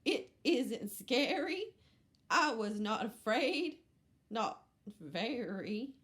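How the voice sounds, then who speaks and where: A woman's voice: on the high side, big pitch swings, extremely slow, a bit bright, voice pushed up, heavily acted. A woman voicing a timid goat in a picture book. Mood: pleading. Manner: pleading, then bravado. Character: timid goat trying to seem brave.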